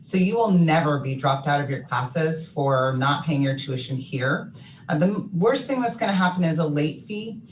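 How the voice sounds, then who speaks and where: A woman from a student finance office answering a question on a panel in a reassuring way, with many fillers and some throat clearing. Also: low-pitched, animated, moderate pace. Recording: echoey room, distant mic, faint noise.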